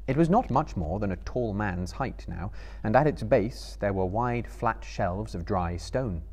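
A man's voice: on the low side, lively intonation, a little slow, darkish tone. A man in his thirties giving a dramatic literary reading as a storyteller to a live audience. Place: book-lined room before a small live audience.

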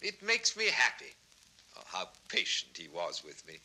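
A man's voice: deep voice, wildly swooping pitch, moderate pace, bright, forceful tone, exaggerated voice, poor audio. A male actor playing a proud, tempestuous, hard-of-hearing composer in a theatrical 1960s children's record drama. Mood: excitable, fond. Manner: blustering, emphatic, theatrical.

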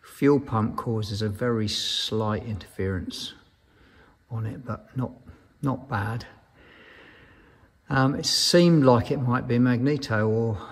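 A man's voice: lowish voice, breathy edge. A man giving an informal technical explanation in a matter-of-fact, understated and somewhat hesitant way, as a maintenance engineer. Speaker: aircraft maintenance engineer presenting.

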